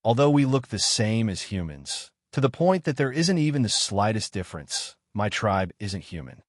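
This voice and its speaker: A man voicing a young slave girl of a nonhuman clan in a fantasy audiobook, formal, deferential and quietly confident. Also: lowish voice, sing-song, normal speed, no echo, clean studio sound. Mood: deferential, explaining.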